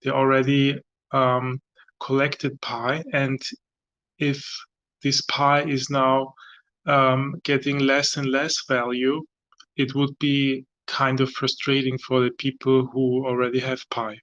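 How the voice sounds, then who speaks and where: A man gives his opinion in a community discussion through slightly muffled audio. His delivery is very slow and halting, with little movement in pitch as he searches for words. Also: completely flat delivery, fairly bright tone. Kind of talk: explaining an opinion. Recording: dull lo-fi audio.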